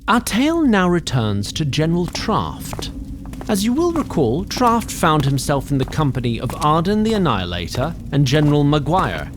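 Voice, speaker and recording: Man acting as a theatrical fantasy storyteller, lively pitch. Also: normal speed, noisy background. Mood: measured storytelling. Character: storyteller narrating a fantasy epic tale. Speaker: narrator. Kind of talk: scripted fantasy audio drama narration.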